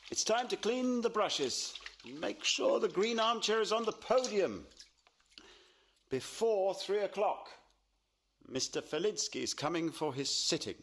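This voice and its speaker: A man's voice: on the high side, fairly bright tone, slight background noise. An actor playing a proud, troubled middle-aged painter and father in a radio play, emphatic and dismissive in manner. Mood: brisk.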